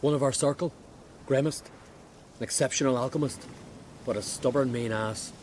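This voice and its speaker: A man's voice: medium pitch, steady tone, moderate pace, darkish tone, slight background noise, close to an everyday voice. A man playing a resentful, gossipy druid in a video game, grumbling with rhetorical questions. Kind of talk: video game character acting.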